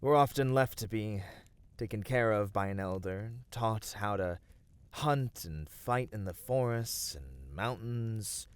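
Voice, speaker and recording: A man acts out a loyal male battle dancer from a fantasy game world, comforting a dear friend in ASMR roleplay. His voice sits slightly low and moves very slowly. He is earnest and tender, leaving dramatic pauses between phrases. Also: expressive, clean tone, dry recording. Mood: warm reminiscence. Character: gentle, devoted male Viera battle dancer, loyal adventuring companion. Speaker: voice actor.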